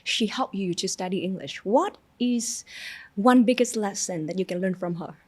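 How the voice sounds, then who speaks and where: A woman whose first language is Vietnamese, interviewing in English, encouraging and often pausing on "uh.". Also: mid-range voice, husky. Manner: encouraging, hesitant with fillers. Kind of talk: interview questions.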